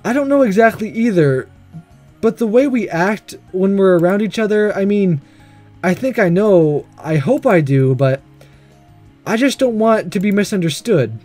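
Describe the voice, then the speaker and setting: A man voicing an earnest, nervous, lovestruck male high school student in a visual novel, speaking hesitantly. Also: expressive, normal speed, a little mellow, soft background music. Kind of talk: reading visual novel dialogue aloud.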